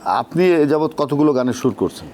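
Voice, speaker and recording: A man's voice: medium pitch, lively intonation, fairly quick, darkish tone, a little hiss or hum. A man with a Bangladeshi accent hosting an interview in a conversational way, feeling his way through questions and prompting with tag questions. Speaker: talk show host, poet.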